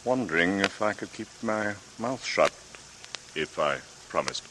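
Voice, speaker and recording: A man's voice: deep voice, lively intonation, a little slow. A man playing a cornered, nervous captain in an old radio drama, hesitant and stammering. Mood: wary. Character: cornered, nervous sea captain pushed into complicity. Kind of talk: 1940s radio acting. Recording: noisy vintage radio drama recording.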